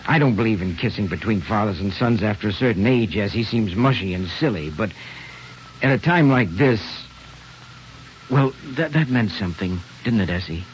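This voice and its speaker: A male actor playing an awkward, tender middle-aged American father in a halting 1940s radio drama style. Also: fairly low pitch, animated, a little hiss or hum, lightly acted. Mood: tender, reflective. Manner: halting, hemming and throat-clearing. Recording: muffled vintage radio with music behind.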